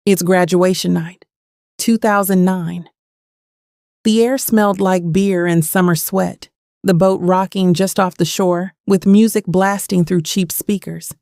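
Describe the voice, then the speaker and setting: A haunted thirty-something woman played by an actress tells her own story of old wounds. Her voice sits at a middling pitch with a gravelly fry, recorded close and dry. She is bitter and brooding, in the manner of an audio thriller. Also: steady tone, a little slow, darkish tone, no echo. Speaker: narrator and lead of an audio drama. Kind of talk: first-person dramatic scripted narration.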